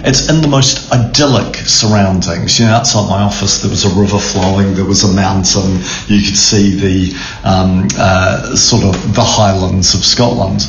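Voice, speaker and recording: A middle-aged man with a New Zealand accent, a theatre chief executive answering radio interview questions in a measured, thoughtful way with frequent ums and restarts. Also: sits low, steady tone, creaky. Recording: roomy, dull sound with faint background noise.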